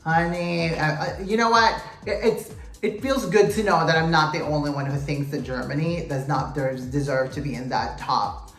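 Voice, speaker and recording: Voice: mid-range voice, fairly even intonation, moderate pace, fairly bright tone. A pageant vlogger giving a casual recap to camera, sassy and gossipy, often calling out to viewers as "you guys" and "queens". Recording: roomy echo, faint music.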